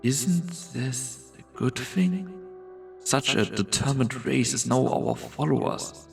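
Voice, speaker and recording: A man playing a brooding, world-weary strategist in a fantasy game fan dub, delivering solemn, theatrical monologues with a gravelly voice. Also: medium pitch, takes it slowly, far from the mic. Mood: cold, calculating. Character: brooding, world-weary male strategist called Doctor, torn and grandiose. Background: background music.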